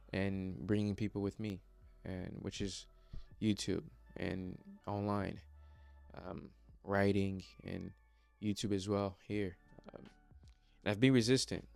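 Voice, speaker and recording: A man's voice: on the low side, little pitch movement, slow pace, gravelly, smooth, no echo, faint music behind. A young man from Congo, whose first language is not English, speaking in a motivational personal vlog, earnest and hesitant with many fillers and restarts. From Democratic Republic of the Congo. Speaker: vlogger.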